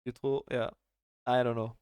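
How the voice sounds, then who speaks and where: A man's voice: mid-range voice, little pitch movement, normal speed, a little mellow, smooth, no echo, clean studio sound. A French man casting a strategy game in casual chat, laughing often and restarting his sentences. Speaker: game caster.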